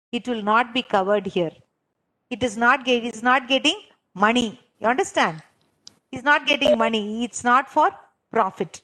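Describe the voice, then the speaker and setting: A woman's voice: on the high side, fairly bright tone, low-quality recording. A woman leading a training lesson, explaining step by step, repeating herself and often checking that learners understand. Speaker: trainer leading a clinical interviewing course. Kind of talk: interactive online lesson, explaining and quizzing participants.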